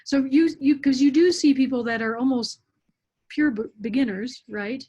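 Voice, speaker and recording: A woman's voice: sits high, normal speed, darkish tone, breathy edge. A woman hosting an online interview, reading an introduction in a friendly way with frequent fillers, over muffled audio. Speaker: interview host.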